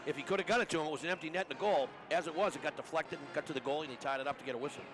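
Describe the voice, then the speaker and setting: A man's voice: on the high side, racing. A man giving conversational hockey analysis as a color commentator, explaining plays informally to his broadcast partner. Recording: noisy arena broadcast, rink ambience behind.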